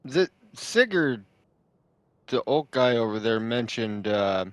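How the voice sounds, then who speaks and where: Halting man at a gaming table, dry sound. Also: medium pitch, big pitch swings, fairly bright tone, dry recording. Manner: hesitant, halting. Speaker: player in a tabletop roleplaying game. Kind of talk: casual table talk.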